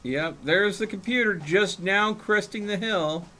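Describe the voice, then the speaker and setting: A man's voice: high voice, measured pace, sharp tone. Casual man streaming a game, light background noise. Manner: thinking aloud, explaining numbers. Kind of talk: casual chat while gaming.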